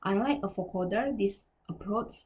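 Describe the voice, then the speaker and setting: A woman giving a scripted academic presentation as a researcher, reading formally and carefully with slightly halting phrasing. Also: medium pitch, darkish tone, a little hiss or hum.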